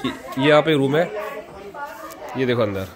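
A man's voice: medium pitch, animated, measured pace, crowd noise. A man in his forties filming a casual family vlog on a phone at arm's length, explaining things as he goes. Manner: explaining, chatty, pointing things out. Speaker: vlogger, father. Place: roadside outdoors by a highway. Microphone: phone held at arm's length. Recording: traffic and outdoor noise.

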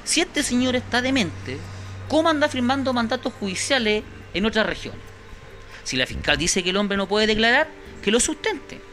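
A man's voice: very high voice, very animated, normal speed, pushed and bright, soft background music. A man in his thirties with a Chilean accent, a guest in a studio interview, talking insistently and indignantly, often repeating words as he searches, into a handheld mic. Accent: Chilean Spanish. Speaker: guest, victim's brother. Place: small indoor studio.

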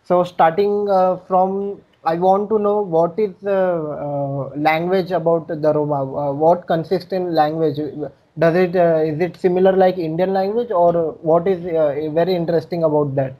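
A man's voice: on the high side, steady tone, normal speed. Hesitant young man, lo-fi call audio. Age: young adult. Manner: hesitant, many fillers. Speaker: interview host, channel founder. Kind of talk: interview introduction and questions. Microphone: headset on a video call.

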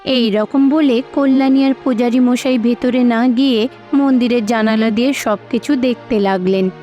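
A woman narrates a cartoon fairy tale in a high-pitched voice. The recording is slightly dull, with music playing behind her. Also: steady tone, moderate pace, warm tone. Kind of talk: scripted storybook narration for a cartoon.

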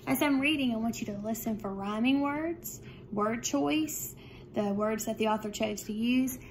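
A woman, a grade-school teacher speaking in measured, simple phrases as in a read-aloud for young children. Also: a little high, rough recording. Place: small room at home, indoors. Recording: clear background room noise.

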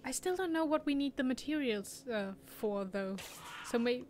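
A woman's voice: high voice, lively intonation, moderate pace, a little mellow, a little hiss or hum. A young woman with a German accent, chatting casually and laughing as she plays a video game and answers chat. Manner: chatty, laughs often, reads chat aloud. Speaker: streamer. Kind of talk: casual gameplay commentary.

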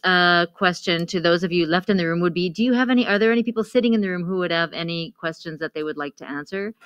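A woman's voice: fairly low pitch, fairly bright tone, smooth, dry recording. A woman moderating a panel, polite and formal as she opens the questions.

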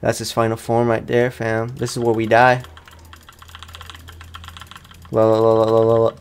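A man's voice: medium pitch, completely flat delivery, a little slow, a little hiss or hum. A young man, sounding tired, gives casual let's play commentary as a gaming host, rambling along in slangy, informal speech.

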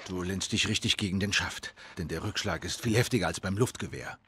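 A man voicing a gruff, guarded middle-aged survivor in a dramatic video game, terse and protective. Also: deep voice, fairly quick, a bit bright, slight background noise. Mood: serious, instructing.

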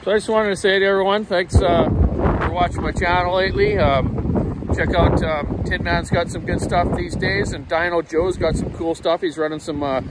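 A man's voice: sits high, steady tone, normal speed. A man in his fifties, a chainsaw shop owner, rambling in a folksy way with many fillers while he vlogs outdoors on his phone. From Canada. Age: 50s. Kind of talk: casual walking vlog. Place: windy seaside park outdoors. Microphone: phone camera at arm's length. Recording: loud wind noise on the phone mic. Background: gusting wind.

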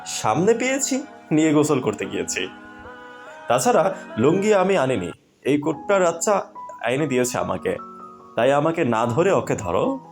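A man's voice: on the high side, wildly swooping pitch, moderate pace, creaky, pauses often, soft background music, full character voice. A man playing a brash young husband and father in an audio story, complaining sarcastically and theatrically with indignation. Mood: defensive, grumbling. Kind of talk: audio story character acting.

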